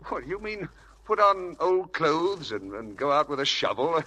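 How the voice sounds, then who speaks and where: An actor playing a charming, feckless young husband in a 1940s radio drama, flippant, glib and coaxing. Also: male voice, on the high side, a little slow, pushed and bright, breathy edge, heavily acted. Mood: flippant, evasive. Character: charming, feckless spendthrift husband, breezy and evasive. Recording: hissy old radio broadcast.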